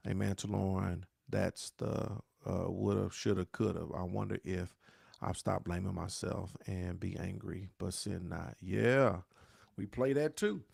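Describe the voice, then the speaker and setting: A pastor who talks to viewers in a preachy, repeating way and asks "right?" again and again. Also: male voice, deep voice, steady tone, unhurried, creaky, darkish tone, husky, dry recording, clean studio sound. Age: 40s. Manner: dialect grammar, says "y'all". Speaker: pastor hosting a grief talk show. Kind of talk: live-stream talk answering viewer questions.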